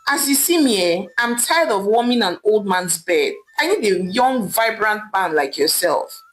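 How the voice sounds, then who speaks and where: A woman's voice: medium pitch, expressive, a little slow, fairly bright tone, poor audio. A woman voicing an eager, scheming young wife with a Nigerian accent in a told story. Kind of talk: storytelling character voice.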